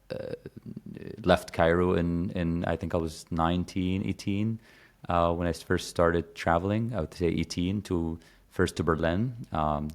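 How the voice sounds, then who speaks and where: A man in his thirties from Egypt, a podcast guest talking casually with lots of fillers and restarts. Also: low-pitched. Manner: rambling, many fillers and restarts. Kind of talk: casual conversation.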